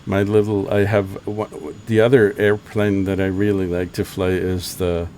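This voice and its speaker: A German man with a low-pitched voice talks casually over a headset, circling his point with fillers and restarts. Faint background hiss runs under him. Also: flat delivery, normal speed, darkish tone. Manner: hesitant, many restarts and fillers. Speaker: flight sim video host. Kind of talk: casual chat over radio while flying.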